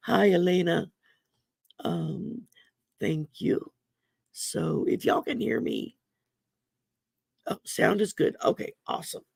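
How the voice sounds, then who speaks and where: A woman with a gravelly voice from damaged vocal cords. She hosts a casual livestream, chatting and reading oracle cards in a folksy way with many ums. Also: on the low side, slow pace, long pauses, no room sound, studio quality. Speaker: host and oracle card reader. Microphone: computer mic on a livestream.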